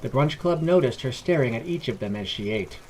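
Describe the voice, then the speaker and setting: A man's voice: medium pitch, expressive, a little fast, a little mellow, a little hiss or hum. A man reading scripted fantasy narration as a storyteller.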